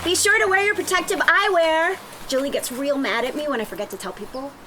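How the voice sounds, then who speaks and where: A woman's voice: high-pitched, a little fast, sharp tone, slight background noise. A woman playing a perky, pushy millennial tanning salon receptionist in a script read-through, with a chirpy, salesy delivery. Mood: breezy.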